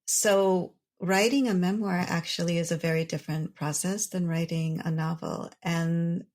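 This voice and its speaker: Measured woman author, Urdu native. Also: fairly low pitch, fairly even intonation, a little mellow. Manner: thoughtful, measured, explanatory. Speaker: author and guest. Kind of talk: reflective interview answers.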